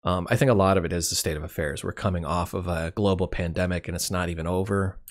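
A middle-aged man with a very low, deep voice talks through his year in a loose, rambling vlog. His voice is hoarse and keeps cracking, and he sounds candid and wry. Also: steady tone, fast. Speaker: YouTube channel host. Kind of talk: casual year-in-review monologue.

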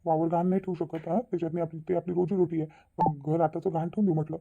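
A man's voice: a little high, little pitch movement, racing, creaky, narrow, tinny audio. A man voicing a troubled, insistent middle-aged village father in a Marathi cartoon, earnest and persuading, with a Vidarbha Marathi accent.

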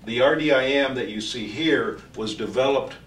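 A man in his sixties giving a technical product demonstration as a trainer, speaking haltingly with many pauses, recorded from a distance in an office meeting room. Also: medium pitch, fairly bright tone. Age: 60s. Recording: distant mic, echoey room, faint noise.

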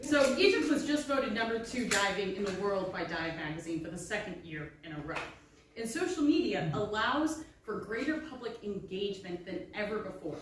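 A woman's voice: medium pitch, lively intonation, moderate pace, a little hiss or hum. Chatty woman lecturing in an echoey library. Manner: friendly, chatty presenter addressing the audience directly. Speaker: maritime archaeologist giving a public talk. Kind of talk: public lecture with slides. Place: library room with bookshelves, indoors. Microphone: handheld microphone. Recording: very echoey room, voice from a distance.

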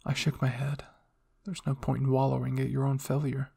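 A man reading fan fiction aloud as dramatic first-person story narration, moving between hushed and intense delivery. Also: mid-range voice, completely flat delivery, moderate pace, vocal fry, husky. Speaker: audio fan fiction reader.

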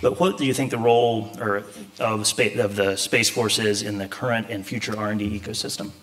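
Conference moderator, male, 30s: brisk, casual questions at a podium mic, slightly low pitch. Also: steady tone. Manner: quick, informal questioning. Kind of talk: on-stage interview questions. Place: conference stage.

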